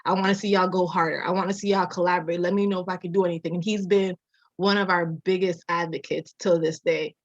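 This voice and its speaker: Soft-spoken woman on a dull, dry line. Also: fairly low pitch, fairly even intonation, fast, dry recording. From Jamaica, raised in Massachusetts. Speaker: architecture designer, guest. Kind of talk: casual interview storytelling.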